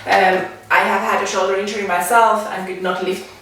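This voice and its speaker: A woman from Finland with a Finnish accent, leading an exercise demonstration as a dance and fitness instructor, speaking haltingly in short phrases with pauses. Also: medium pitch, flat delivery, fairly bright tone. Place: indoors at home, away from the mic. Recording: echoey room, distant mic, faint noise.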